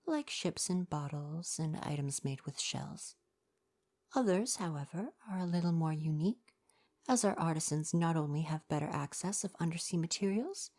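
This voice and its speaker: Woman playing a mellow, low-voiced kind mer shopkeeper. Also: slightly rough, full character voice. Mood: softly proud. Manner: polite, articulate, formal retail patter. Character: kind young mer person shopkeeper, courteous, earnest, gentle. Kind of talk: ASMR roleplay, softly spoken.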